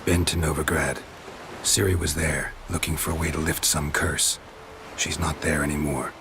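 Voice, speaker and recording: An actor playing a gruff, weary monster hunter in a video game, terse, deadpan and gravelly, with clipped delivery. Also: male voice, extremely low pitch, normal speed, noisy background. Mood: grave, matter-of-fact. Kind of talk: video game cutscene acting. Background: music.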